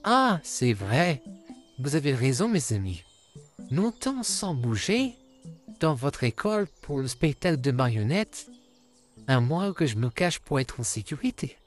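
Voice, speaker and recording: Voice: medium pitch, very animated, a little slow, soft background music. A performer voicing a polite, eager, helpful male puppet come to life in a cartoon comic dub. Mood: agreeable.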